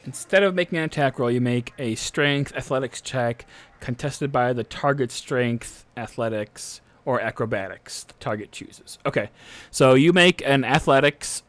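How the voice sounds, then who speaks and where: A man acting as game master, narrating a tabletop game off the cuff and pausing to check rules aloud. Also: mid-range voice, fairly bright tone.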